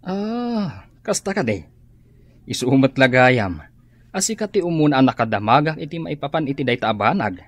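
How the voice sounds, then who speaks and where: Man acting an excitable, swooping Filipino man. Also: mid-range voice, normal speed, no room sound. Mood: amazed. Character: excitable, easily amazed man hearing gossip. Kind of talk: Filipino radio drama acting.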